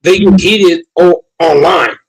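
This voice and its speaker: A man's voice: high-pitched, slow, low-quality recording. A man guest in a livestream debate, talking earnestly and repeating himself.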